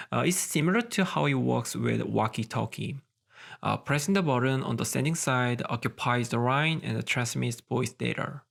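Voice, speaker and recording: A man with a medium-pitched voice delivers a technical tutorial. He works through his scripted explanation in a methodical, step-by-step way, as a presenter guiding learners. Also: gravelly.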